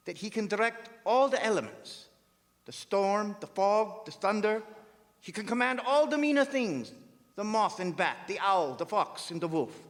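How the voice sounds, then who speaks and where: A solemn vampire hunter acted by a man commands and warns in a high-pitched, theatrical voice. The oratorical radio-play delivery echoes through a big hall with long reverb. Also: fairly bright tone. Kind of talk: theatrical radio drama acting. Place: performing arts center stage.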